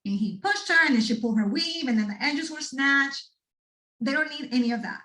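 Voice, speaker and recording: Lady, middle-aged, pumping up students, kinda high voice, echoey and far from the mic. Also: a little fast. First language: Spanish. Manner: animated, addresses the audience directly with frequent "right?". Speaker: speaker at a student workshop. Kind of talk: motivational talk to students.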